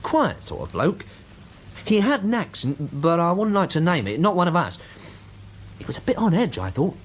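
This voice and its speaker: Chatty London chip shop man, lively intonation. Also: on the high side, moderate pace. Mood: earnest, uncertain. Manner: colloquial, hesitant. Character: chatty, shaken working-class fish bar owner, a man. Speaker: actor in radio drama. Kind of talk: radio drama dialogue.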